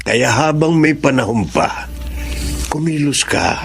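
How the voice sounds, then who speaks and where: A man's voice: mid-range voice, lively intonation, slow, gravelly, fairly bright tone, soft background music, exaggerated voice. Earnest, helpful man. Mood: serious. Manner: earnest, advising. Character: helpful, concerned male neighbour giving earnest advice. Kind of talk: Tagalog radio drama acting.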